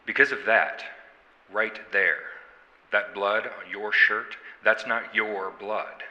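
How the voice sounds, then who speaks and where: Deep, hard-edged homicide detective. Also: male voice, pushed and bright, big-room sound, highs cut off, exaggerated voice. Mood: accusing, firm. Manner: folksy, deliberate, sarcastic. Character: gruff small-town homicide detective, sardonic, needling, quietly menacing. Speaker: police detective. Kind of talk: audio drama interrogation acting.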